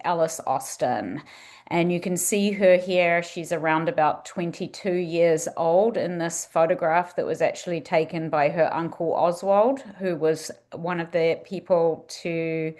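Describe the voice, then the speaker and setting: A woman's voice: on the low side, darkish tone. A woman from New Zealand with a New Zealand accent, presenting as a museum director in a friendly manner with frequent ums, over a video call. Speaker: museum director giving a talk.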